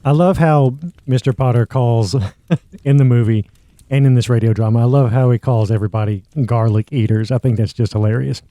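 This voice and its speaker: A male podcast host with a very dark, relaxed voice gives a casual story recap. The sound is close and dry, with a medium pitch. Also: fairly quick, dry recording.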